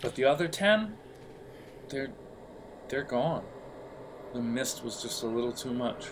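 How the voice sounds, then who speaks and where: A man acting as game master, narrating an improvised role-playing game in a casual, halting way. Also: medium pitch, expressive, background noise.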